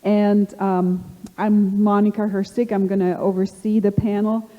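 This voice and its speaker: A middle-aged woman with a slight German accent hosting a live panel, halting and full of fillers. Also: medium pitch, little pitch movement, muffled recording. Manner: halting, many fillers and restarts. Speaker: panel host. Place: conference hall. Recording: long hall reverb.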